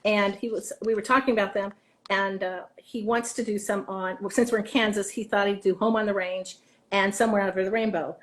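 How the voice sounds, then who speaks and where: A woman's voice: mid-range voice, fairly even intonation, fairly quick, a bit bright. An American woman speaking as an interview guest and theater teacher, telling anecdotes conversationally and acting out remarks.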